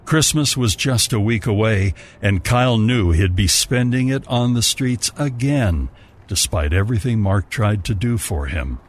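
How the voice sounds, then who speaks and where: A man's voice: on the low side, expressive, measured pace, a little hiss or hum. A man narrating a scripted story as a storyteller. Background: music.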